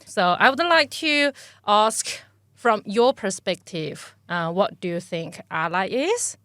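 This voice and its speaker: A woman's voice: medium pitch, expressive, takes it slowly, a bit bright, no room sound. Woman podcast host, dry close mic. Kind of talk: podcast interview, scripted intro.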